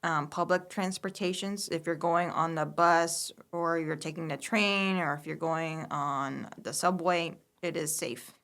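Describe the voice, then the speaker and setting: A woman's voice: sits low, fairly even intonation, a little slow, hardly any pauses, fairly bright tone. A woman whose first language is Hungarian, a podcast guest, answering hesitantly with many ums. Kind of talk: casual interview.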